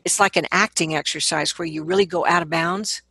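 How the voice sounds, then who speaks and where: A woman's voice: lowish voice, steady tone, fairly quick, pushed and bright, breathy edge, no echo. A woman speaking as a vocal coach in a conversational interview, explaining her points with emphasis and repeating words to drive them home.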